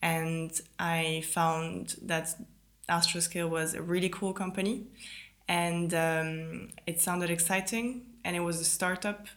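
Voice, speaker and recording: A woman's voice: on the low side, steady tone, slow, fairly bright tone. A young French woman who grew up in Italy, a native French speaker, explaining her work as an interview guest. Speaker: podcast guest, ground systems engineer.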